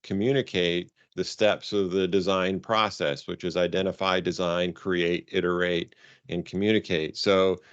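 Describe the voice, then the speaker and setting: A man with a very deep voice gives informal guidance to coaches. He speaks haltingly and rephrases for emphasis. The sound is dull, like a conference call, and quite dry. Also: level tone, smooth, dry recording. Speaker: robotics coaching advisor leading a conference call.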